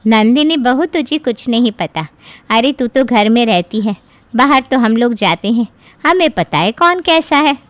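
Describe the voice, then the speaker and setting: A woman's voice: fairly high pitch, expressive, a little slow, fairly bright tone, no room sound. A woman playing a blunt, reproachful middle-aged neighbourhood auntie in a cartoon story. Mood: scornful. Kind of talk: cartoon voice acting.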